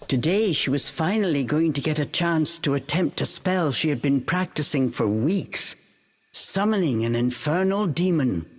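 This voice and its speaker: A woman narrating a fairy tale for a video game in an expressive, measured storytelling manner. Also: low-pitched. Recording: faint music behind the voice.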